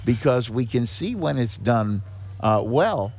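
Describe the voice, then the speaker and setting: A man speaking as a charity director and podcast guest, explaining things in a measured, conversational way. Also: medium pitch, animated, heavy background noise.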